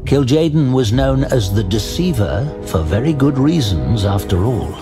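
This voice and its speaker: A man narrating a fantasy audio drama in a measured, deliberate, suspenseful storytelling style. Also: medium pitch, expressive. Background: music.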